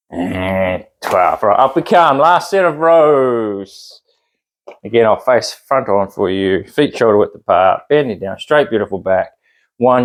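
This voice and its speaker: A man with a New Zealand accent demonstrating a home workout, chatting encouragingly and counting reps aloud while he exercises. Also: mid-range voice. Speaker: fitness instructor.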